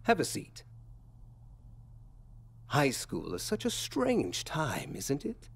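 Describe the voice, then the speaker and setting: A man playing an unctuous, quietly threatening school official, speaking formally and theatrically in a video game. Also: a little high, wildly swooping pitch, creaky, breathy edge, full character voice. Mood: falsely welcoming. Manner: formal, measured, insinuating.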